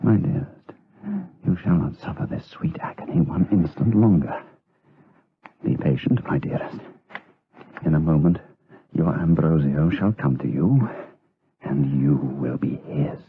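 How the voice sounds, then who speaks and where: An actor playing a lustful, guilt-tormented monk in a gothic radio drama, with breathy, theatrical, impassioned delivery. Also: male voice, extremely low pitch, lively intonation, measured pace, pauses often, slight background noise, heavily acted. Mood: amorous longing. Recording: tinny, phone-like radio drama recording.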